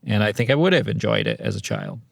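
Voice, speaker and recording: Male co-host, low creaky voice, laid-back and halting in casual podcast chat. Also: wildly swooping pitch, no echo, studio quality.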